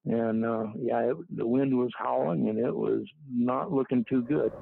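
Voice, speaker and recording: An older man recalling his experiences in a casual, halting way, with a gravelly voice and many fillers. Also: medium pitch, steady tone, background noise. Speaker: interviewee. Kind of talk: casual recollection. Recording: tinny phone-like line.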